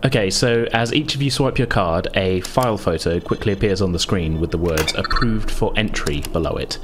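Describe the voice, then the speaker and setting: A man's voice: sits low, moderate pace, a little hiss or hum. A man narrating a tabletop roleplaying game as game master, descriptive and conversational.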